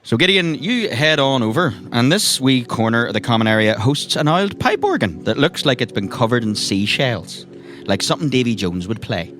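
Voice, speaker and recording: An Irish man narrating a tabletop game as game master, with wry, colourful descriptions. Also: medium pitch. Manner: colourful, droll turns of phrase. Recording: clear music behind the voice. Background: music.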